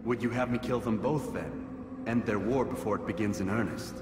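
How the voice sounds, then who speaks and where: A man's voice: on the low side, brisk, lightly acted. A man playing a proud, cold assassin in a game cutscene, curt and confident. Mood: cold resolve. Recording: loud music behind.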